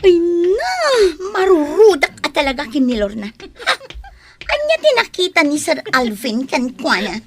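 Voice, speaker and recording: Woman acting bossy, high-voiced Filipina office schemer Windy. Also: sing-song, a little slow, heavily acted. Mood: jealous. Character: Windy, haughty, jealous, bossy female office superior. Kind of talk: Ilocano radio drama acting.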